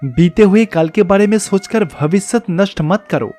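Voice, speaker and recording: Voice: mid-range voice, moderate pace, mellow, lightly acted. An actor plays a kindly divine teacher in disguise from a devotional storybook tale. He speaks patiently, advising and encouraging children, with faint music behind him.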